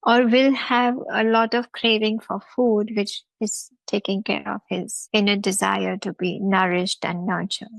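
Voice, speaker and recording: A woman's voice: medium pitch, level tone, a little slow, vocal fry, few pauses, dry recording, low-quality recording. A woman with an Indian accent hosting a talk show, explaining at length in an emphatic way, with repeated phrases and lists. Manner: emphatic, repetitive listing. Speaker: host.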